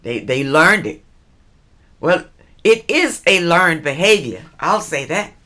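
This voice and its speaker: A woman's voice: lowish voice, very animated, slow pace, bright, forceful tone. A middle-aged woman hosting a relationship advice channel, speaking emphatically and repeating phrases for stress as she addresses her female viewers. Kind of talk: spoken advice monologue, reading viewer letters.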